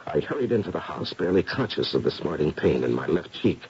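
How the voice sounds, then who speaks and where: Muffled, noisy radio drama. confident sleuth of the occult, very low register, animated, probing delivery. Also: male voice. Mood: grave, shaken. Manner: deliberate, dramatic explaining. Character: confident male paranormal investigator, gray-haired, probing and theatrical. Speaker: actor. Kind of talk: old-time radio mystery acting.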